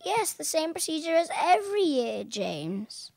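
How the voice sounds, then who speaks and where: A woman's voice: high voice, very animated, unhurried, gravelly, darkish tone, dry recording, heavily acted. A young woman playing a genteel, imperious elderly lady hosting dinner in an amateur radio play. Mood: gracious, formal. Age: young adult. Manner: formal, imperious.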